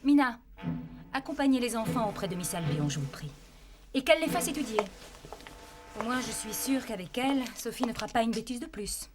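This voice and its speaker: A woman's voice: on the high side, animated, brisk, music in the background, close to an everyday voice. A woman playing a composed, formal and authoritative aristocratic mother in a French cartoon. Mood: firm. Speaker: mother and mistress of the house. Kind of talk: French animated series dubbing.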